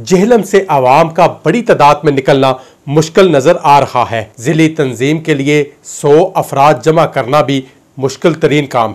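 A man in his thirties delivers political news with a Pakistani Urdu accent. His voice sits a little high, and his reading is formal and declamatory. He speaks into a handheld mic in a small studio. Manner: formal, declamatory, with gesturing hands. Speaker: news presenter. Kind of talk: scripted political news commentary. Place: studio in front of a dark backdrop. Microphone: handheld mic held at chest.

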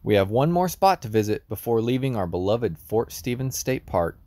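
A man's voice: mid-range voice, normal speed, no echo. A man from Texas talking casually while exploring an old concrete fort for a travel vlog. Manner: excited, exploring on the move. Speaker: travel vlogger.

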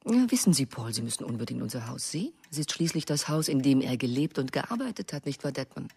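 An actress playing a genteel, effusive American wife in a German radio drama, with husky, affected, theatrical delivery. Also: female voice, a bass voice, sing-song, fast, a little mellow, heavily acted. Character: genteel, effusive American wife reminiscing, dominating her husband.

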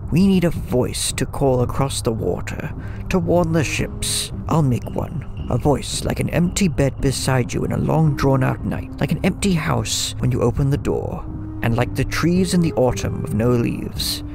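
A man's voice: mid-range voice, animated, moderate pace, vocal fry. A man playing a brooding, poetic old lighthouse keeper in an audio drama, musing like a storyteller. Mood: melancholy. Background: music.

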